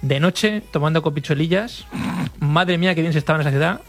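Hablador locutor español, rápido. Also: male voice, fairly high pitch, fairly quick, soft background music. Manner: chatty, enthusiastic, repeats himself. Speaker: radio co-host. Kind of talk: casual radio chat.

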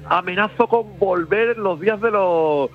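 A man's voice: sits high, measured pace, narrow, tinny audio, soft background music. A man, a festival organizer talking enthusiastically in a casual radio interview, rambling with many restarts.

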